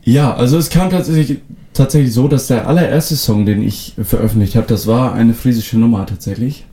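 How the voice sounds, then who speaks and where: A young man from the North Sea island of Föhr whose first language is North Frisian, answering interview questions in a relaxed way with many fillers and restarts. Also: medium pitch, fairly quick. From Föhr, northern Germany. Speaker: musician guest.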